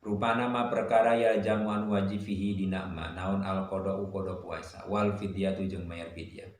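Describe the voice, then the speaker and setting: A man teaching a religious lesson. He recites Arabic phrases from a classical text and glosses each word in a steady, measured cadence. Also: low voice. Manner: poses and answers his own questions. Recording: very echoey room, faint noise.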